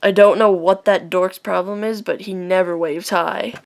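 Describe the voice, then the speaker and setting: A woman's voice: lowish voice, fairly even intonation, normal speed, natural voice. A woman playing a scornful, sarcastic teenage older sister in a radio drama. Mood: snide, scornful. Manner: snide, sarcastic. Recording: music under the voice.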